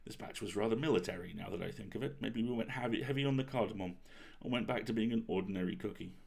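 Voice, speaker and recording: A man reading a bedtime story aloud as host, with expressive, deliberate phrasing. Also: on the low side, gravelly, slightly rough.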